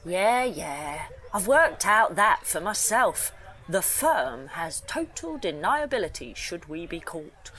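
A woman's voice: on the low side, big pitch swings, slow, a bit bright, exaggerated voice. A woman playing a cheeky, slightly dim young crook's sidekick in an audio comedy, flippant and colloquial. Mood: impatient. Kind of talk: audio comedy drama acting.

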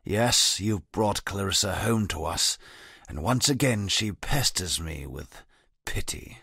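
A bitter, disfigured convict speaks in a very deep, gravelly, husky voice, slow and brooding. His wary, sardonic lines fall dry and close, recorded in a studio for a game. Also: expressive, bright, forceful tone, no echo, full character voice. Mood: gruff wary. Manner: low, brooding and haunted, sardonic.

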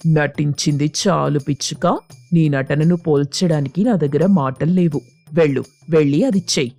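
A woman's voice: low-pitched, moderate pace, dark tone, soft background music. A woman voicing a coaxing, complaining husband crow in a children's cartoon story. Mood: mildly reproachful. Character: affectionate, nagging husband crow craving hot fritters.

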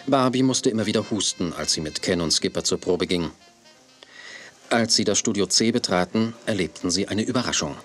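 A man's voice: low voice, a little fast, faint music behind. A man narrating a scripted children's audio story.

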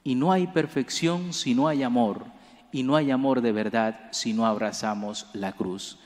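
A young man, a priest, preaching a homily at a lectern microphone in a church, earnest and measured, rising for emphasis. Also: mid-range voice, darkish tone. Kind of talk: homily at Mass. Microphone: lectern gooseneck mic. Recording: long church reverb.

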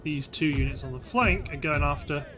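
Higher-voiced British man, casual gaming commentary. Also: moderate pace, background noise. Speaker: gameplay host. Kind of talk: casual let's play commentary on a strategy game.

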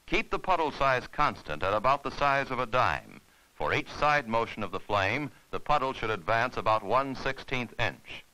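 A man's voice: medium pitch, animated, normal speed, bright, forceful tone, rough recording. A man narrating an instructional film in a formal, scripted, step-by-step way.

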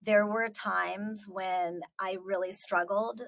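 A middle-aged American woman speaking in a measured, reflective way as a guest speaker answering questions on a video call. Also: medium pitch, fairly even intonation, vocal fry, a bit bright. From United States. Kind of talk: earnest talk answering audience questions.

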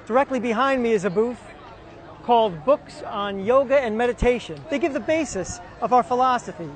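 A man presenting and narrating a documentary in a steady, explanatory way, partly from an outdoor street festival. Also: very high voice, normal speed, some chatter behind.

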